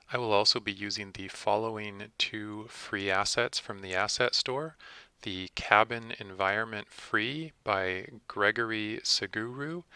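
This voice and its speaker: A man narrating a software tutorial as its presenter, methodically explaining things step by step. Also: on the low side, clean tone, dry recording. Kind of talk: instructional screencast narration.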